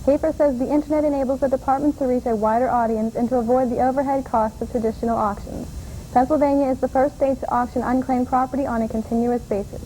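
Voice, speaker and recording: A woman anchoring a student television newscast, reading the stories in an even, measured way. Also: sits high, a little hiss or hum, rough recording. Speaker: news anchor. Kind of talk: read news bulletin. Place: student TV studio.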